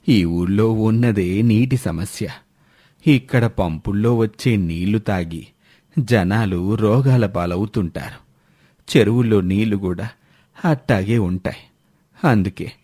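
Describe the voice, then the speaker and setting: A man voicing a blunt old villager who gives grim warnings in slow, rustic speech for a moral story. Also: fairly low pitch, warm tone, no room sound, clean studio sound, pitched below the performer's own voice.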